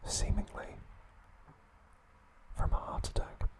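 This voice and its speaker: A man narrating trivia as an ASMR host, hushed and deliberate, in short separated phrases.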